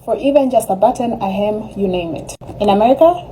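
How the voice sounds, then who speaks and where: A woman's voice: mid-range voice, fairly quick, dark tone, a little hiss or hum, low-quality recording. A young woman who grew up in Uganda, giving a blunt, emphatic opinion as a student in a social media video.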